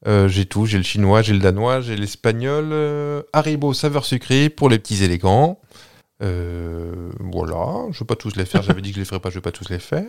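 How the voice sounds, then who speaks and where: A man with a fairly low voice hosts a casual podcast. He tells his story in a playful, meandering way and keeps stopping on hesitations as he goes. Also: lively intonation, moderate pace, gravelly, a little mellow, clean tone. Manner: playful, rambling, many hesitations. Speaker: podcast host. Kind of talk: casual chat, telling a story.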